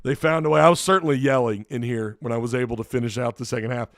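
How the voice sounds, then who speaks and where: A man talks through a late-night game recap, worn out and losing his voice from yelling earlier. He rambles and restarts his sentences. His voice stays smooth, and the sound is dry and close to the mic. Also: mid-range voice, steady tone, fast, dry recording. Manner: rambling, restarts often, tired and loose. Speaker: sports podcast host. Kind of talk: casual solo recap talk.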